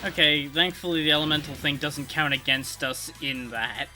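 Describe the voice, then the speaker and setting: A man's voice: on the high side, fairly even intonation, moderate pace. A man giving casual live gameplay commentary as a streamer, thinking aloud and explaining things conversationally. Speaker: video game livestreamer. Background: video game music.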